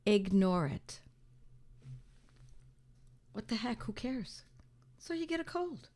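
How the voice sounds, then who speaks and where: An older woman talks through her cold, her voice raspy and a little hoarse. She sits a touch above the usual pitch and lets it rise and fall a lot. She leans hard on each point and repeats lines to drive them home. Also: takes it slowly. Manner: animated and emphatic, repeats phrases, talks to viewers as friends. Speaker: homeopathy teacher hosting her own channel. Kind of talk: casual talk to camera.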